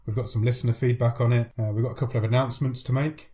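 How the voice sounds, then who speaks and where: A man with a head cold talks quickly through podcast news in a mellow, darkish tone. He chats casually and laughs easily with his co-host. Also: mid-range voice, steady tone. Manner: chatty, laughs often, rattles off news. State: has a cold. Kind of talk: casual chat.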